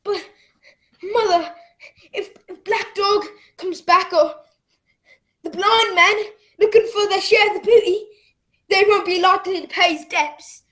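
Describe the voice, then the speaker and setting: A woman playing an earnest, excitable young boy who acts and narrates his adventure in a radio play. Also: very high voice. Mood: alarmed. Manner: dramatic, deliberate storytelling.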